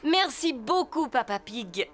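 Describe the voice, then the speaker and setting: A woman's voice: high-pitched, big pitch swings, slow, heavy background noise, heavily acted. A woman playing a pleased, polite lady animal in a children's cartoon. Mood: delighted.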